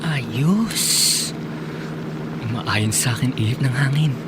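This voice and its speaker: Scheming male helper, medium-pitched, smooth-talking, coaxing, radio drama, noisy vehicle ambience. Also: animated, slow pace. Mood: sly, pleased. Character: scheming, ingratiating male suitor posing as generous helper. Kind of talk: Tagalog radio drama acting.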